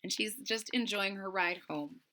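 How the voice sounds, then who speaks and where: A woman's voice: mid-range voice, measured pace, bright, forceful tone. A woman reading a picture book aloud and stopping to explain it simply to children. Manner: clear, explaining asides for children. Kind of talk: storybook read-aloud with explanations.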